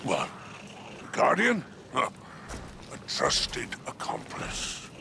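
A man voicing a scheming alien crime baron in a video game, gravelly, oily and theatrical. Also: a little high, huge pitch swings, slow, noisy background, heavily acted. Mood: coaxing. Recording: game ambience over the voice.

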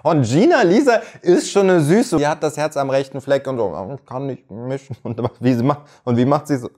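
A man in his thirties hosting a reaction video, commenting in a mocking, animated way from a neon-lit room. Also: normal speed. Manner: animated, mocking, jumps in pitch for jokes.

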